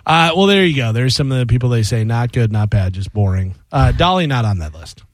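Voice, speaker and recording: A male radio host in a casual studio chat, joking and laughing easily with his co-hosts. His voice sits at an ordinary pitch, and the sound is close and dry with no room around it. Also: animated, no echo. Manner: joking, laughs often, drawn-out wind-down of the show. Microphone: studio microphone.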